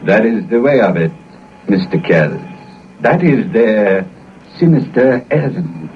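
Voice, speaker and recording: A man's voice: lowish voice, lively intonation, takes it slowly, loud music behind the voice. A man acting a shrewd, world-weary Belgian cab driver with a Belgian accent in a 1940s radio drama. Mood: ominous, knowing. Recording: noisy, muffled, distant old radio recording.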